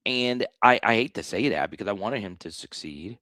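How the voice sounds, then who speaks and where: A man with a slightly hoarse voice hosting a live sports talk stream, blunt and animated, often restarting his sentences. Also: fairly low pitch, moderate pace, no echo. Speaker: sports show host.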